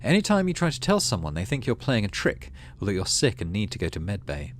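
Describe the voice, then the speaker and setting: Casting: male let's play host, lowish pitch, animated delivery, storytelling plus casual remarks. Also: fast talker, slight background noise. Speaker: gaming channel host. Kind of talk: let's play narration, reading game text with casual commentary.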